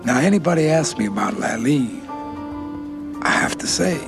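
A gravelly aging gangster. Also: male voice, mid-range voice, lively intonation, moderate pace, music in the background. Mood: weary, brooding. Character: weary aging male street gangster, streetwise. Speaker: film character in interview sketch. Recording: film clip with loud score.

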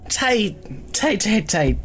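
A woman's voice: medium pitch, very animated, drawn-out words, a little hiss or hum. A woman playing a wounded, slangy criminal in an audio drama, joking and rambling while shaky with pain. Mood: bitter, flippant. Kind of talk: fiction podcast audio drama acting.

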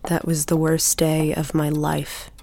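A woman's voice: sits low, monotone, measured pace, creaky, warm tone, exaggerated voice. A woman playing a bitter, forgetful bedridden housewife in an audio drama, sardonic and imperious, with a drawling delivery.

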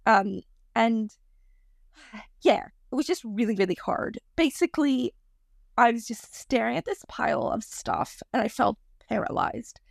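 A woman in her thirties hosting a podcast, talking casually on her own with a croaky voice, rambling and apologizing for her digressions. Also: a little high, animated, measured pace, dry recording, studio quality. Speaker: podcast host. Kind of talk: casual solo podcast monologue.

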